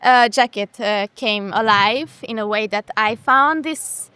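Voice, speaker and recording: A woman's voice: on the high side, measured pace, bright, forceful tone, smooth, dry recording. A woman in her twenties casually explaining her design work, a little hesitant in phrasing. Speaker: fashion design student.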